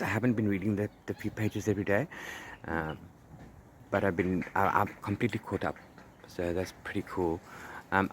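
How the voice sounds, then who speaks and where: Casual rambling man vlogger, noisy background. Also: sits low. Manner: rambling, repeats words and phrases for emphasis. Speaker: book vlogger. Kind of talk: casual chat to camera about reading. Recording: clear background noise.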